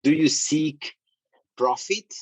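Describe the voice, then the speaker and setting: Male interviewer, low husky voice, dry close sound, short questions. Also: slow, dry recording, poor audio. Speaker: podcast host. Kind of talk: podcast interview.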